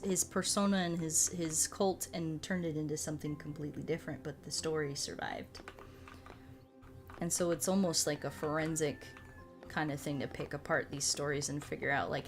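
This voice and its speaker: A woman's voice: low-pitched, steady tone, normal speed. A woman streaming a game, chatting casually and thinking aloud in an informal, rambling way. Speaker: streamer and host. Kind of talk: casual livestream chat while playing a game. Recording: music playing behind the voice. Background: music.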